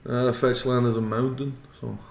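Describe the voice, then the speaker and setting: A man's voice: mid-range voice, flat delivery, normal speed, gravelly, slight background noise. A Belgian man with a Flemish accent, a native Dutch speaker, casually commentating a card game stream in English and chatting with viewers. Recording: roomy echo.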